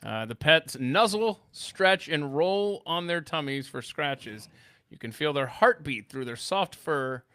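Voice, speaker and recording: An American man co-hosting a podcast, bantering casually and reacting out loud. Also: fairly high pitch, lively intonation, slow. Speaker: podcast host.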